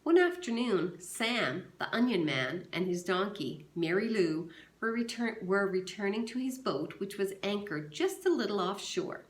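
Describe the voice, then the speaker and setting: A woman teacher reads a novel aloud for her class in a clear, measured way, pausing to give instructions. Her pitch is middling. The recording has a roomy echo. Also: lively intonation. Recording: echoey room.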